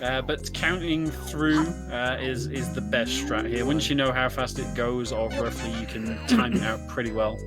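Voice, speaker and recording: A man's voice: mid-range voice, fairly even intonation, moderate pace, hardly any pauses. A man co-commentating on a speedrun in a casual, explanatory, somewhat hesitant way. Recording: loud game music behind. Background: video game music.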